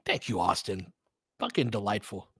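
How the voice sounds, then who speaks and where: A man's voice: fairly low pitch, animated, moderate pace, creaky, a bit bright, breathy edge, no room sound, clean studio sound. A man doing casual live basketball commentary and chatting with viewers, informal and slangy with some swearing. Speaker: host of a fan watch-along.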